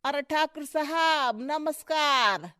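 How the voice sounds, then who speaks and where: A woman's voice: high voice, slow, pushed and bright, dry recording, studio quality, heavily acted. A woman voicing an anxious, deferential villager pleading with a boss in a cartoon story. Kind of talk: Hindi cartoon story dialogue.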